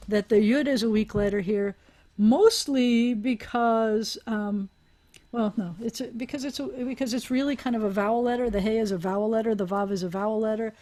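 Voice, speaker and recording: A woman's voice: medium pitch, warm tone. A woman teaching a language class over a video call, explaining aloud with restarts and small laughs. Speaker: Hebrew teacher.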